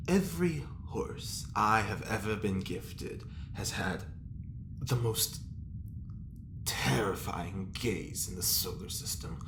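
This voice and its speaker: A flamboyant, whimsical eccentric in an audio drama, theatrical and unhurried. The pitch swings widely, and the voice rings in a roomy space with clear noise behind it. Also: expressive, heavily acted. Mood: grave, theatrical. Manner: theatrical, drawn-out.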